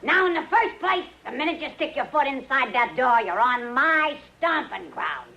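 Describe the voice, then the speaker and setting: Brassy, shrill, domineering saloon boss. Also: female voice, animated, moderate pace, lo-fi sound, full character voice. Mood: bossy, forceful. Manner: loud, bossy, folksy. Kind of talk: old-time radio western acting. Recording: old radio recording, faint noise.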